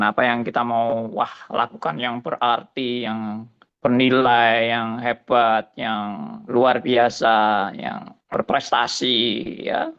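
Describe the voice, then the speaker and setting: A pastor in his seventies giving a slow, musing spoken testimony, often tagging his phrases with a soft "ya". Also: mid-range voice, gravelly, clear, resonant tone, lo-fi sound. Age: 70s.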